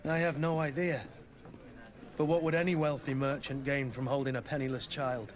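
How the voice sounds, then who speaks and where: A man's voice: mid-range voice, little pitch movement, moderate pace, close to an everyday voice. An actor playing a sceptical, loyal male companion in a video game, plain-spoken and questioning.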